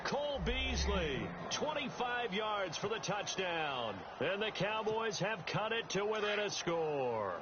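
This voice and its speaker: A man's voice: high voice, sing-song, slow pace, heavily acted. A man calling a football game as a play-by-play commentator, stressing the big plays. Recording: muffled game stream, loud background noise.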